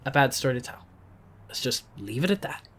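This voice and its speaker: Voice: medium pitch, big pitch swings, fairly quick, background noise, close to an everyday voice. A woman playing an awkward, secretive, apologetic young local in an audio drama, hesitant and evasive.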